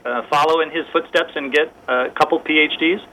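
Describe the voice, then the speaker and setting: Male talk-radio host, bright and forceful, friendly questions, faint hiss. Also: a little high, fairly even intonation, brisk, poor audio. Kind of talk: radio interview.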